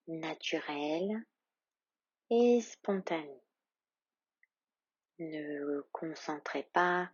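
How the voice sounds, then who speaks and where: A woman yoga instructor leading a guided meditation in a calm, soothing, soft and breathy voice with long, measured pauses. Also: on the low side, dry recording, muffled recording.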